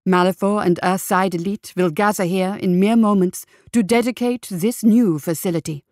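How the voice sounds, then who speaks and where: A woman's voice: medium pitch, normal speed, darkish tone, dry recording, studio quality, close to an everyday voice. A woman voicing a male radio reporter giving formal, genteel live commentary on a society event.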